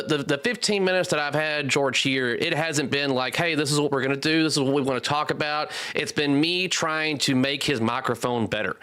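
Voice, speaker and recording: A man hosts a live stream in a bright, fairly high voice. He rambles and jokes loosely, with casual swearing, in an easygoing chat. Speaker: host.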